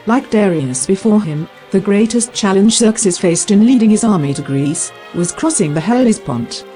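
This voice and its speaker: A woman giving scripted documentary narration in a clear, explanatory way. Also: medium pitch, animated, moderate pace, warm tone, faint music behind.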